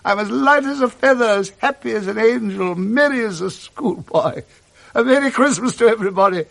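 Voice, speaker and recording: An excitable old Englishman, bubbling with joy and effusive goodwill. His voice rides high and swings widely in pitch, in the manner of 1960s radio drama. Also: fairly quick, gravelly, exaggerated voice. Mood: giddy joy. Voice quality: excitable, chuckling. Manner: exclamatory, effusive.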